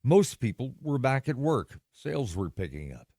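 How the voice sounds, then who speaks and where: An actor playing a solemn, foreboding storyteller in an old-time radio drama, with grave, theatrical, measured phrasing. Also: male voice, mid-range voice, very animated, darkish tone, no echo, studio quality. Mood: measured, ominous. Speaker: dramatic narrator.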